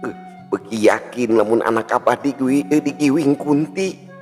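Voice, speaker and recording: A man's voice: medium pitch, moderate pace, darkish tone, pitched above the performer's own voice, close to an everyday voice. A man with a Sundanese accent voicing a worried, humble old village father in a folk tale. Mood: anxious recounting. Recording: long hall reverb with music.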